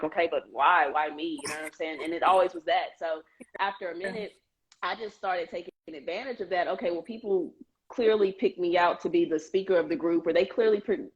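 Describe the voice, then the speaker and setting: Low-voiced woman on a dull call line. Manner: earnest storyteller, frequent "um" and "you know". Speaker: guest, Air Force member. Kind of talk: casual interview chat.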